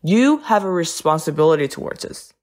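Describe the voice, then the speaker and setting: A woman acts a stern funfair stallholder in an audio drama. She has a fairly low voice and an accusing manner. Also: sing-song, a little fast, a little mellow. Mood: scornful.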